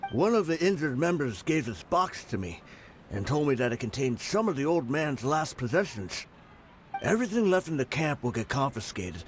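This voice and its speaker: A laid-back mercenary, played by a man speaks calmly, his voice rising and falling with lively movement. He sounds easygoing and accepting, as in a game cutscene. Faint noise sits underneath. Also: mid-range voice, close to an everyday voice. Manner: calm, easygoing delivery. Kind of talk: game voice acting.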